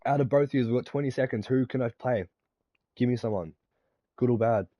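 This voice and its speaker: A man's voice: medium pitch, fairly quick, no echo, lo-fi sound. A man in his twenties, drunk, rambling crudely and swearing while he plays a game and chats. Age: 20s. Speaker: game streamer. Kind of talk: casual chat while gaming.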